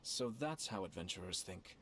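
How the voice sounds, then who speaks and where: An actor voicing a courteous, analytical young clan lord in a game, speaking in a formal, measured way on a noisy stream capture. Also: male voice, low-pitched, animated. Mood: measured, cautious. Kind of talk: scripted game dialogue.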